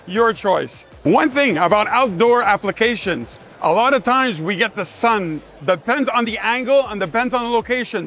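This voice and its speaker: A man's voice: high voice, fairly bright tone. A man giving a live sales demonstration of a product, speaking in emphatic short phrases like a salesman. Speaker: sales manager presenting a product. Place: trade show demonstration.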